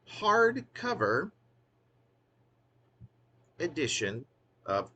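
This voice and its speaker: A man's voice: fairly low pitch, sharp tone, slight background noise. A man who is a poet reading his own wry, literary work in a theatrical, emphatic way, drawing out phrases.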